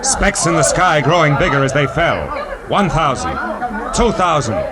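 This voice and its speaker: An actor playing a wry, hard-boiled private detective in a 1940s radio noir, with clipped, dramatic delivery. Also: male voice, on the high side, big pitch swings, moderate pace, a loud crowd. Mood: urgent. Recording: noisy old 1940s radio recording.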